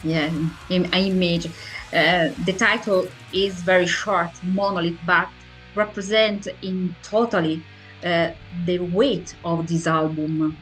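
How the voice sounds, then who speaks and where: Lady asking interview questions, really slow and halting, bit of music behind her. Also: on the low side, steady tone, a bit bright, low-quality recording. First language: Italian. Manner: halting, searching for words. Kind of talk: interview questions in a second language.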